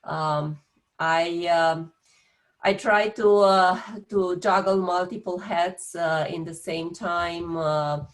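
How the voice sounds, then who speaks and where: A woman's voice: fairly low pitch, steady tone, takes it slowly. A woman in her fifties from Romania with a Romanian accent, an engineering professor giving a hesitant talk full of filler sounds over a video call.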